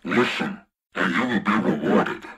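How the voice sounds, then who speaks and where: An actor playing a menacing, taunting spy-ring boss in a video game, with a husky, gravelly voice. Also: male voice, low voice, animated, measured pace, a bit bright, exaggerated voice. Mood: cold, taunting. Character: sinister male spy-ring boss giving threatening orders. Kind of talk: scripted video game voice acting.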